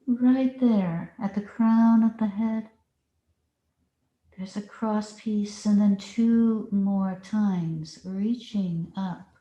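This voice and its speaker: A woman meditation teacher leading a guided meditation with deliberate phrasing and long pauses. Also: mid-range voice, dark tone. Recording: roomy, echoing space.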